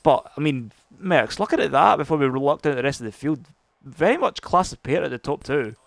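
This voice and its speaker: A man's voice: a little high, animated, a little fast, vocal fry, no echo. Chatty Scottish man commentating. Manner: chatty, lots of fillers and restarts. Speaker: race commentator. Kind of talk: live sim racing stream commentary.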